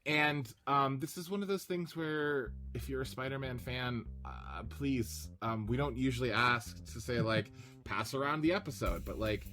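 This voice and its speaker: A man's voice: mid-range voice, normal speed, few pauses, pushed and bright, faint music behind. A man co-hosting a podcast in casual chat, effusive and grateful in the way he talks. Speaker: podcast co-host.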